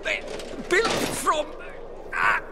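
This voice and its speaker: Shrill, indignant male craftsman. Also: huge pitch swings, extremely slow, very noisy, heavily acted. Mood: outraged. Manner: outraged, pompous. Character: indignant, proud old craftsman father, easily riled. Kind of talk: video game drama acting.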